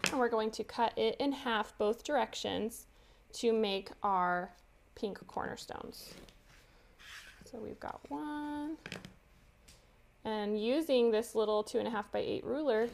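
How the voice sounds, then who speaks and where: A woman's voice: on the high side, creaky, a little hiss or hum. A woman hosting a live quilting demonstration, chatty and giggly, explaining each step as she sews. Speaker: quilting tutorial host.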